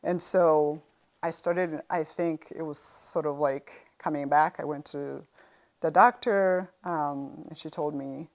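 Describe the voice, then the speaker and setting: A middle-aged woman with an East African accent shares her story in an interview. Her voice sits very low and crackles with vocal fry. She speaks conversationally, stopping often to find her words. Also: fairly even intonation, slow pace, darkish tone. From Uganda. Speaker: interview guest sharing a health experience. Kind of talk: conversational personal testimony.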